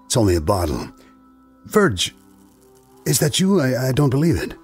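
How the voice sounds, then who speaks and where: A man's voice: medium pitch, wildly swooping pitch, normal speed, darkish tone, husky, faint music behind. A man acting a rich, cowardly schemer in a radio drama, stammering, pleading and falsely cordial. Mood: startled, irritable. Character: rich, cornered, cowardly male double-crosser.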